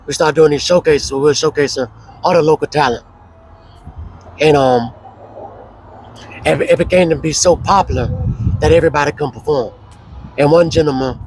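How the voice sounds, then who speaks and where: A man with a hoarse voice, casually telling his life story as an interview guest, slow and relaxed with many fillers. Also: a little high, rough recording.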